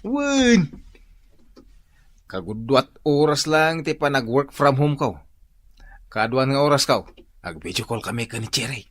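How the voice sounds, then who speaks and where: A man's voice: mid-range voice, sing-song, a little slow, long pauses, dry recording. A man playing a boastful, evasive husband in a radio drama, with an Ilocano Filipino accent. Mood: smug, gleeful. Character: boastful, evasive cheating husband working from home.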